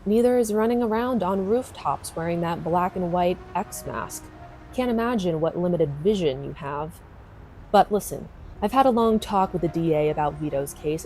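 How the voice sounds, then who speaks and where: A woman's voice: fairly low pitch, mellow, close to an everyday voice. A woman playing a tough, wry homicide detective in an audio drama, dry and no-nonsense. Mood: dry, businesslike.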